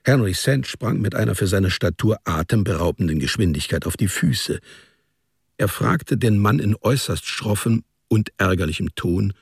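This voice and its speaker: A man narrating a literary radio drama in a deliberate, descriptive storytelling manner. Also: on the low side, lively intonation, gravelly, slightly rough, no echo, studio quality.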